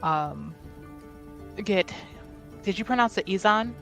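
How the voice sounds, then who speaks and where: Slow, thoughtful young woman player. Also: medium pitch, animated, creaky, soft background music. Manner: thinking aloud, hedging with "like" and "I think". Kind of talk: describing her character's actions in a tabletop game.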